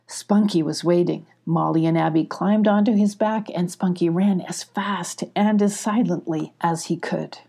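An older woman narrates for children in a mellow, husky voice. She hosts her own story time and talks straight to the listener in simple, inviting phrases. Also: on the low side. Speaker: host and author reading a children's story.

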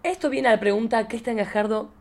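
Fast-talking Argentine woman, playful storyteller. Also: on the high side. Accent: Argentine Rioplatense. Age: 40s. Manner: playful, theatrical, expressive storytelling. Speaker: podcast host. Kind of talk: casual chatty interview with personal anecdotes.

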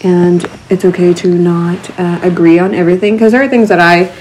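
A Texan woman with a slight country twang co-hosts a podcast in easy, frank chat. Her voice sits a little low, and her points are earnest, often fading into softer asides. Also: fairly even intonation, a little fast, a little hiss or hum, low-quality recording. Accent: light country Texan. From Texas. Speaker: podcast co-host. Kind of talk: casual chat.

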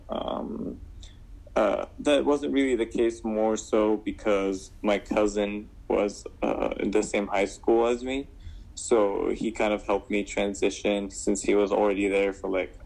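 Young man from Syria, very low voice, flat gravelly tone, halting with fillers. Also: poor audio. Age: 20s. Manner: hesitant, many fillers and restarts. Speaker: guest. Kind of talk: casual interview answers.

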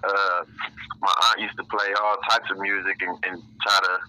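A man's voice: sits low, little pitch movement, normal speed, bright, forceful tone, low-quality recording. A man from Illinois, a rapper being interviewed, talking casually in loose street slang. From Illinois, USA. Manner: laid-back street slang, laughs often. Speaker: rapper, guest.

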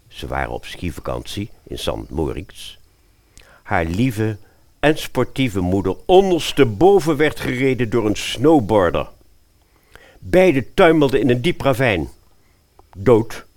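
A male storyteller with a deep voice tells a satirical fairy tale. His delivery swings widely and expressively, and he drops in wry asides to the listener. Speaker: storyteller, narrator.

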